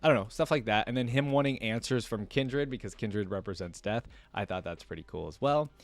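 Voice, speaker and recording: A man's voice: on the low side, fairly quick, no room sound. A man giving casual, unscripted commentary to viewers as a reaction video host, rambling and emphatic in a conversational way.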